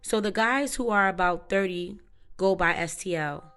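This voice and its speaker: A woman questions a witness in court in a formal, methodical way and keeps her questions exact. Her voice sits at a middle pitch and sounds smooth and clear. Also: moderate pace, fairly bright tone, faint music behind. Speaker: prosecutor.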